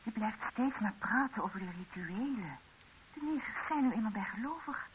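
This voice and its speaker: A woman's voice: a little high, animated, moderate pace, natural voice. Actress as a firm young woman, muffled old recording. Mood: impatient, dismissive. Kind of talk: radio drama dialogue. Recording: dull narrow-band old radio recording with hiss.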